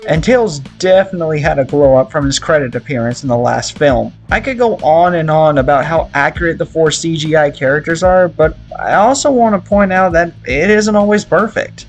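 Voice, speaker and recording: Young adult man, somewhat high-pitched, scripted review narration, enthusiastic, opinionated, faint background music. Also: a little fast, hardly any pauses. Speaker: video reviewer.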